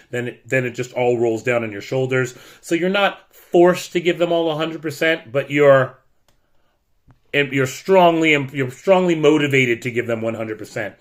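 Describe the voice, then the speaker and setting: A middle-aged American man, a teacher, talking bluntly and with frustration in a loud voice as he warns people away from a job. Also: medium pitch. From United States. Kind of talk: warning vlog rant.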